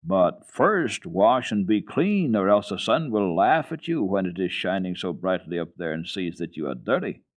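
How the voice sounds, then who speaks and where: A man reads as an old grandfather who farms goats in the mountains. He is gruff but kindly, speaking plainly and firmly as in a children's storybook. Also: deep voice, very animated, moderate pace, few pauses. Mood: firm, instructing.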